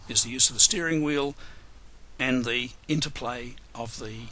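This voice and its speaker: A man losing his voice, hoarse and chatty, teaching a live software webinar with dry, self-mocking humor. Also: medium pitch, normal speed, slight background noise, low-quality recording. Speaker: software trainer.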